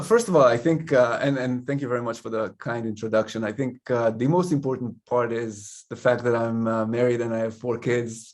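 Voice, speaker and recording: Man, Hebrew speaker, mid-pitched voice, measured and reflective with frequent uhs. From Israel. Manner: thoughtful, measured, frequent uh fillers. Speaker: guest, company CEO. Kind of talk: casual interview chat.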